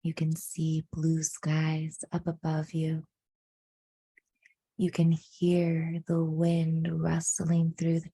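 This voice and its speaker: Woman doing guided meditation, real slow and soft, husky low-ish voice, soothing. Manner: hushed, soothing, deliberate phrasing with frequent pauses.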